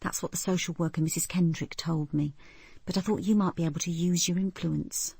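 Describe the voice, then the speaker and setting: A genteel British spinster in a radio play, acted by a woman narrator. She is timid and polite, with a mellow, breathy voice close to the microphone. Her speech is hesitant and apologetic, as if pleading softly. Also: on the low side, fairly even intonation, a little fast, no room sound. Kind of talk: radio drama acting.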